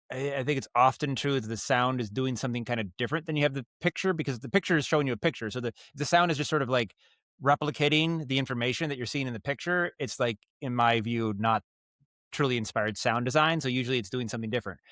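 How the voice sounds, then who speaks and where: A man's voice: mid-range voice, fast talker, a bit bright, dry recording, studio quality. A man talking casually as an interview guest, explaining his craft in a thoughtful, rambling way with frequent self-corrections. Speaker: podcast guest, audio storyteller and director.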